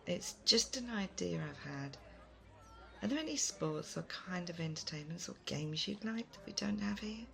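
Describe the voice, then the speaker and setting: A woman playing a thoughtful, kind, self-doubting leader in an audio roleplay, soft-spoken, hesitant and gently earnest. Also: lowish voice, expressive, moderate pace, vocal fry. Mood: fond, thoughtful. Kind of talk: immersive audio roleplay addressed to the listener.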